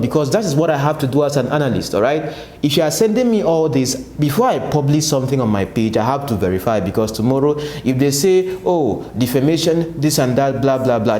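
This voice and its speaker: A man's voice: medium pitch, dark tone, echoey room, a little hiss or hum. A Nigerian man giving political commentary to his viewers, asking and answering his own rhetorical questions. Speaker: political analyst, channel host. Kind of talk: commentary to camera.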